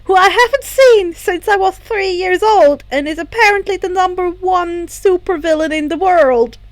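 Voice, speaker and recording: A woman voicing an earnest, expressive teenage boy in a dramatised story reading. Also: very high-pitched, moderate pace, no room sound, voice pushed up. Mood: exasperated. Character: teenage boy, excitable, earnest son of a villain.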